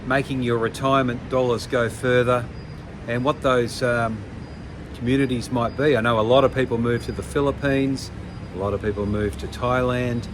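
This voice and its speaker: An older man vlogging casually to camera, pausing often with ums and restarts. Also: mid-range voice, steady tone, noisy background. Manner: hesitant, many ums and restarts. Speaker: travel vlogger.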